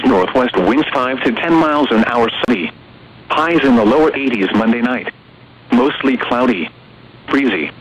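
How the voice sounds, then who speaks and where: Text-to-speech man, automated weather radio, flat mechanical reading, muffled radio sound, clear static hiss. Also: mid-range voice. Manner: flat synthetic reading with odd stresses. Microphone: radio receiver recording. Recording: dull radio broadcast with clear noise.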